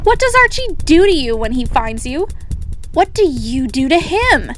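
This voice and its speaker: A woman's voice: sits high, sing-song, normal speed. An actress playing a sarcastic, suspicious teenage girl who needles her best friend in a radio drama. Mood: accusing. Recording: music under the dialogue.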